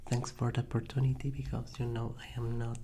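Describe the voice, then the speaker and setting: A man whose first language is Spanish gives a soft-spoken, whispered ASMR lesson into a condenser mic, hesitating often with fillers. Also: mid-range voice, level tone, a little fast, noisy background. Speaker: ASMR creator teaching Spanish. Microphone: Blue Yeti condenser mic.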